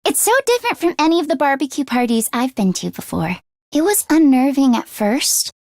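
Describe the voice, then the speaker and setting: A teenage girl mechanic from a video game, with a high, youthful voice and a close, dry sound. She is a friendly tomboy, earnest and a little anxious, and speaks casually and expressively. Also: no room sound. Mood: cheerful. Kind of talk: English video game voice acting.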